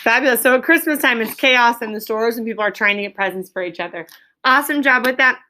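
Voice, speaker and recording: An American woman teaching an elementary class, giving clear instructions and spelling things out for the children. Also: a little high, noisy background. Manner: clear instructing, exaggerated drawn-out modeling. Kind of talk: interactive classroom lesson. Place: school classroom with pupils.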